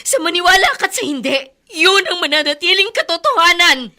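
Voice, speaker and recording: A woman's voice: very high-pitched, lively intonation, a little fast, pushed and bright, heavily acted. A woman acting a desperate, pleading mother in an emotional radio drama. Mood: insistent, wounded. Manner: imploring, emotional delivery.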